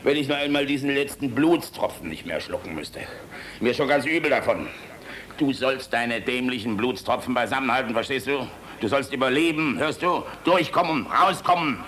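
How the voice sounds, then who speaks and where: An older man playing a gruff, fatherly, world-weary army general in a German radio play, blustery and philosophizing, shifting between barking and tenderness. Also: medium pitch, expressive, normal speed, pushed and bright, slight background noise. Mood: exasperated, urgent.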